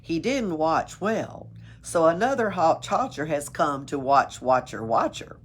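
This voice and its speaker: A woman reading a children's storybook aloud in an expressive, playful way, adding her own asides. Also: low voice, a little slow, slightly rough. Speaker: story reader at a library. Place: library.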